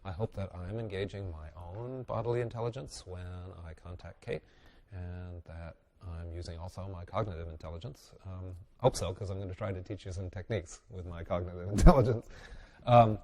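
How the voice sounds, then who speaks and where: A man with a very low voice and a head cold that makes him sound nasal and congested. He teaches bodywork in an easygoing webinar style, thinking aloud with plenty of ums and dry little jokes. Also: normal speed. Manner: relaxed, musing, with fillers and wry asides. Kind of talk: instructional webinar talk.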